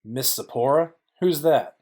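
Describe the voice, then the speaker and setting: A man's voice: mid-range voice, unhurried, warm tone, lightly acted. Man acting a hard-boiled noir detective. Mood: businesslike probing. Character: hard-boiled male noir private detective, wry and businesslike. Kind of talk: noir audio play narration and dialogue.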